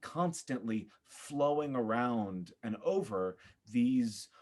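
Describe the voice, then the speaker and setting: A man with a medium-pitched voice talks casually as a guest on a podcast. He is expressive and a little theatrical in how he puts things, in an informal conversational style. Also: slow. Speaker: former actor.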